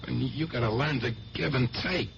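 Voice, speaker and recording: An actor playing a gruff, protective middle-aged uncle in 1970s radio drama, blunt and streetwise, on an old recording. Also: male voice, medium pitch, moderate pace, muffled recording, slight background noise, natural voice. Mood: skeptical, pragmatic. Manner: plain-spoken, blunt, streetwise. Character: gruff, pragmatic, protective uncle running a card joint.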